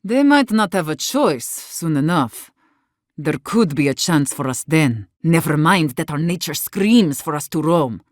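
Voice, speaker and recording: A low-pitched, embittered warden leader. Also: female voice, lively intonation, a little slow, dry recording, studio quality. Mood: grim, resigned. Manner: blunt, profane, earnest. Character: embittered, defiant woman warden leader, weary but fierce. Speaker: video game voice actor. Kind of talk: dramatic game dialogue.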